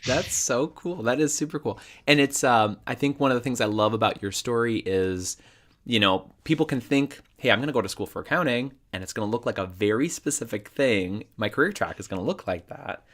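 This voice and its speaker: A man's voice: medium pitch, animated, brisk, a bit bright. A man hosting a podcast with a nasal, congested voice while recovering from illness, talking in a playful, self-deprecating way. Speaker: podcast host. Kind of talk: casual podcast interview. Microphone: video call.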